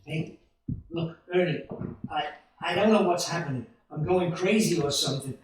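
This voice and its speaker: A man's voice: fairly high pitch, normal speed, natural voice. A man playing a desperate, bewildered American family man in a radio play, agitated, stammering and insistent. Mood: confused, pleading. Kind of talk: 1940s-style radio play acting. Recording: very echoey room, distant microphone.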